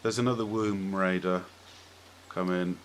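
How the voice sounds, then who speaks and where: Deep-voiced man chatting on a podcast. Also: fairly even intonation, a little slow. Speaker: podcast host. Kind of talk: casual opinionated podcast chat. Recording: clearly audible background noise.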